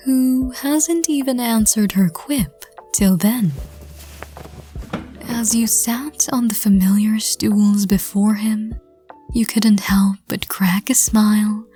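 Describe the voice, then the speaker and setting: A woman reading scripted second-person story narration in a soft, gentle, hushed voice. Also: medium pitch. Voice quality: soft, breathy. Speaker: narrator and audio roleplay reader.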